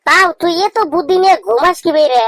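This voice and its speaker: A man voicing a skeptical, needling young village friend in a comedy cartoon, with a rural Bangladeshi accent and pitched-up voice. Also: fast talker, a bit bright, dry recording.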